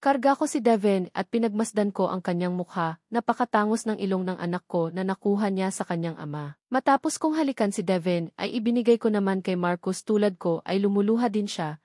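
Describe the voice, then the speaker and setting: A woman's voice: mid-range voice, darkish tone, no echo, clean studio sound. A woman reading a scripted first-person story as an audiobook narrator.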